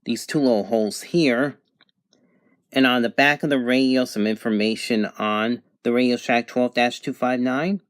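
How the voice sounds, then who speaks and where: A man giving an unscripted product demonstration in a halting, matter-of-fact way. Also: mid-range voice, fairly even intonation, dry recording. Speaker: product reviewer.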